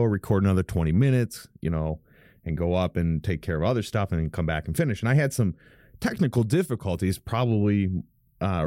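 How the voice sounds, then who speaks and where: Deep-voiced pastor hosting a podcast. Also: male voice, a little mellow, dry recording, studio quality. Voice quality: fairly deep, smooth voice. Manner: rambling, frank, self-correcting with many restarts. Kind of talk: casual podcast monologue. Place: home studio. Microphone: studio microphone.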